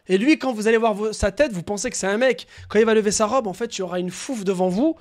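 A young man doing a casual live stream of crude prank calls, animated, slangy and prone to swearing. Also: sits high, fast talker. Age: young adult. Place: small room at home.

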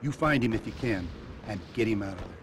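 An actor playing a commanding American army officer giving brisk orders in a video game cutscene. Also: male voice, fairly low pitch, animated, noisy background, natural voice. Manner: brisk, authoritative.

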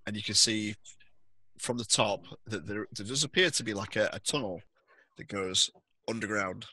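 A man's voice: fairly low pitch, normal speed, bright, forceful tone, dry recording, rough recording. A man acting as game master on a video call, casually describing scenes and giving rulings.

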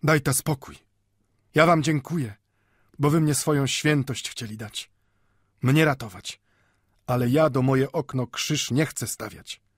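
A man's voice: medium pitch, unhurried, long pauses, breathy edge, no echo, studio quality, pitched above the performer's own voice. A man voicing a proud old shopkeeper in an audiobook, firm and dignified, speaking broken dialect with hard emphasis. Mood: firm, defiant.

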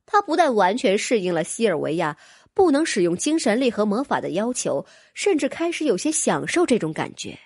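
A woman's voice: mid-range voice, sing-song, brisk, husky, dry recording, clean studio sound. A woman narrating a fantasy audiobook from a script, as a storyteller.

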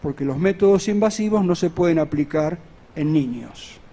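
A man's voice: a little high, animated, mellow. Earnest middle-aged man lecturing at a podium. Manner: earnest, measured explaining with rhetorical questions. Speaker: medical conference lecturer. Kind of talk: academic conference lecture. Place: large conference hall at a podium. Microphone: podium microphone on a stand.

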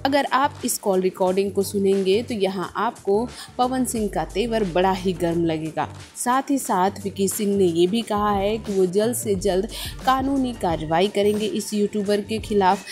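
A woman's voice: mid-range voice, moderate pace, a little mellow. A woman presenting entertainment gossip news as a scripted narration, explaining and restating points with emphasis. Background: background music.

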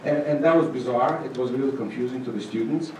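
A middle-aged man giving an informal conference presentation, halting and dryly humorous. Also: medium pitch, warm tone. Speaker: conference presenter, state agency manager. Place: auditorium stage. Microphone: handheld microphone, recorded from far camera. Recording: echoey hall, distant, noisy room sound.

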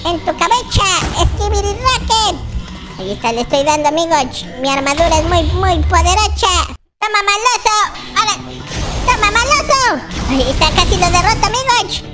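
A very high, squeaky little baby boy narrates a block-game adventure. The delivery is excitable, dramatic and repetitive, like a childish hero talking to viewers, with prominent music behind it. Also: moderate pace. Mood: boastful, combative. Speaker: gaming video host. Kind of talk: Minecraft roleplay commentary. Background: children's background music.

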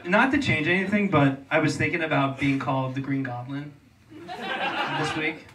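A young man co-hosting a live podcast on a club stage, teasing his partner with quick side remarks and frequent laughs. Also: a little high, far from the mic, background noise. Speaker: podcast co-host. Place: comedy club stage before an audience. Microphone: handheld mic.

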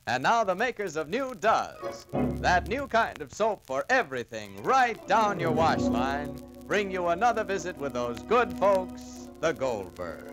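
A 1940s radio announcer with a lively, slightly high male voice, formal and booming. Faint music sits behind him. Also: unhurried, low-quality recording.

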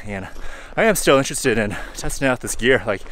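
A man's voice: medium pitch, brisk, a little hiss or hum, low-quality recording. Laid-back man vlogging on a forest trail. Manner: relaxed, rambling, thinking aloud with fillers. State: sweaty and hot while hiking. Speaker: hiking vlogger. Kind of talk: casual vlog talk to camera. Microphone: handheld camera held at arm's length.

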